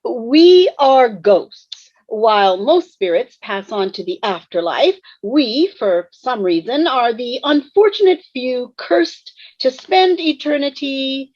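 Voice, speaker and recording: A woman playing a dry, deliberate ghost in an amateur table read over a dull video call. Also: high voice, expressive, darkish tone, heavily acted. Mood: matter-of-fact.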